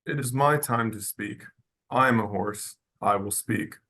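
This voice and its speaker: A man voicing an earnest, homesick horse who speaks in short, halting, childlike sentences in a radio drama table read. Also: fairly low pitch, sharp tone. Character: simple earnest horse, plain-spoken and homesick.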